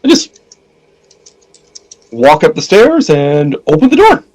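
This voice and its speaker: A man's voice: fairly high pitch, huge pitch swings, slow, darkish tone. A man playing a tabletop game, casually describing what his character does. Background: faint music.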